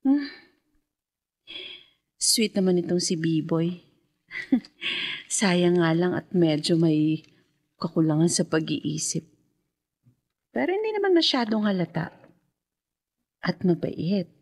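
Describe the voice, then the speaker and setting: A woman playing a kind, gentle, curious young woman in a radio drama. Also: fairly low pitch, sing-song, slow, long pauses, full character voice. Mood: curious, fond.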